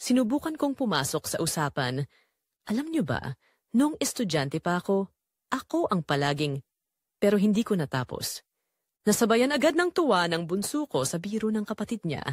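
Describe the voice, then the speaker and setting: Actress as sorrowful, long-suffering Filipina mum narrating a romance audio drama; confiding, very expressive melody, dry studio sound. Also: female voice, mid-range voice, no echo. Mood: quietly hurt. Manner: wistful, emotive, addressing listeners directly. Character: weary, neglected middle-aged Filipina mother, quietly sorrowful. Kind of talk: first-person dramatic confessional storytelling.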